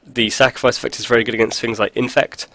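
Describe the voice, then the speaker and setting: A man's voice: medium pitch, level tone, quick pace, gravelly, a bit bright. A man with a cold, sounding stuffy, talking casually over a card game with dry jokes and lots of fillers. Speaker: video host. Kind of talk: casual gameplay commentary.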